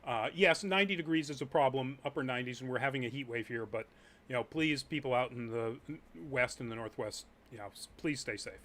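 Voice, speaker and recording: A man's voice: mid-range voice, a little fast, fairly bright tone. A man hosting a live chat show, upbeat and often laughing as he runs through the news. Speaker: host.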